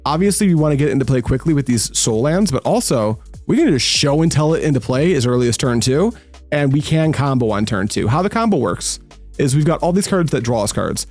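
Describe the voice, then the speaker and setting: A young man chats over his card-game matches from a home setup, close to the mic, his mid-range voice casual and self-mocking. It springs into high excited yelps when plays go wrong. Soft music runs faintly behind him. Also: fairly quick. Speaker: gaming channel host. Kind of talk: casual gameplay commentary.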